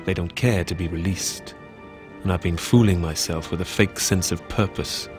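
A man playing a lonely, self-mocking artist, reading his letters aloud in an introspective, measured way for an audiobook. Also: low-pitched, darkish tone, faint music behind, natural voice. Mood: wry, brooding.

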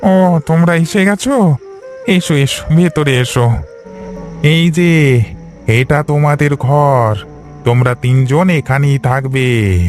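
A man voicing a stern, ominous village caretaker in a horror cartoon, speaking gravely. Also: a little high, a little mellow, faint music behind. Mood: welcoming. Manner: slow, grave, warning.

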